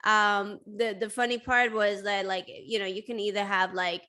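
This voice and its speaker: A young woman from Sweden, hosting a casual interview and talking in a chatty, animated way with frequent laughter. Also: mid-range voice, normal speed. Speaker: host.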